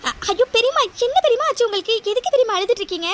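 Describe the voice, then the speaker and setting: A woman's voice: very high voice, animated, rapid-fire, a little hiss or hum. A woman playing a worried relative in a comic family audio drama, asking urgent, pleading questions. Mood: alarmed.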